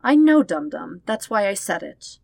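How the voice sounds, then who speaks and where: A woman voicing a proud, sharp, teasing younger sister, a princess, in a fan fiction audiobook. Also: mid-range voice, sing-song, normal speed, gravelly, no room sound, clean studio sound. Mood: grudging, dry.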